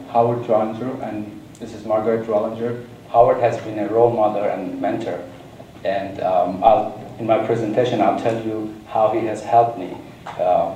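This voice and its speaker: Hesitant man giving a monotone speech. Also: lowish voice. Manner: hesitant, many ums, self-described monotone. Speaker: award recipient. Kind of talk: acceptance speech. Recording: echoey hall, distant mic, background noise.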